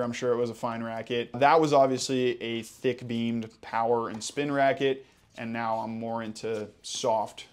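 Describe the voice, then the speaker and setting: A young man with an American accent answers viewer questions in a relaxed vlog. He talks with easy enthusiasm, dropping in casual fillers along the way. His voice sits at an ordinary middle pitch. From Hawaii. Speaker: tennis channel host.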